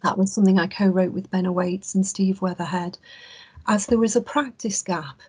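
A woman from England, a clinical psychologist, giving an earnest, measured webinar talk over a video call. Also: mid-range voice, steady tone, warm tone, slightly rough. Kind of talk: webinar presentation.

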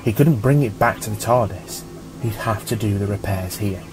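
A man narrating a scripted story as an audiobook reader, with a measured storytelling manner. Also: on the low side, over music, rough recording.

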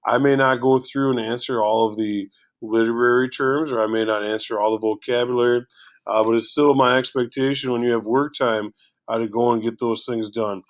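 A man with a low voice teaching a recorded lesson through a shared screen, casual and full of filler words. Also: fairly even intonation, a little fast.

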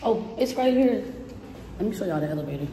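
A young woman vlogging on a trip, talking straight to her viewers in a slangy, chatty way, her voice still a bit hoarse. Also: medium pitch, expressive, fast talker, mellow, a little hiss or hum, poor audio. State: recovering hoarse voice. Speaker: travel vlogger. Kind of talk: casual vlog talk to camera.

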